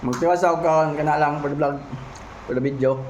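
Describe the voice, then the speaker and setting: A man's voice: fairly high pitch, steady tone, fast, darkish tone, muffled recording, a little hiss or hum. A man with a Cebuano Filipino accent chatting casually in a product vlog, thinking aloud in short, informal fragments with tag questions. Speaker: motovlogger, host. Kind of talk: casual unboxing and product feedback vlog chat.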